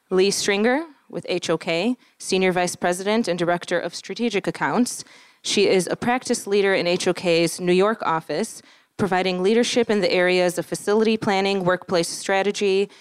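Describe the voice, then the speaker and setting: A woman formally reading out scripted speaker biographies as an event host, in a measured way. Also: lowish voice, smooth, no room sound.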